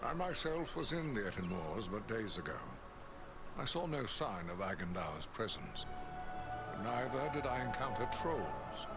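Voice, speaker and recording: Man playing a grave, expressive elven lord. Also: mid-range voice, close to an everyday voice. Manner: formal, measured, stately. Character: grave, courteous elven lord of a great house. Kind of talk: fantasy video game voice acting.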